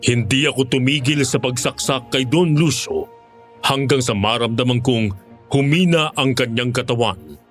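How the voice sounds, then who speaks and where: Guy as ex monster hunter telling a grim horror story, average pitch, serious, soft music behind. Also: normal speed, lightly acted. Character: former male aswang hunter recounting his grim experience. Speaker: narrator. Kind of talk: dramatic horror story narration in Tagalog.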